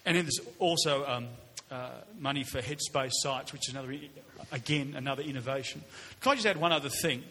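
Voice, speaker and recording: A middle-aged Australian man with a loud voice, answering as a politician at a public forum, repeating words haltingly. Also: medium pitch. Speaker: politician on a panel. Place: large indoor hall, public forum. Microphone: shared event microphone. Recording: echoey hall with background noise.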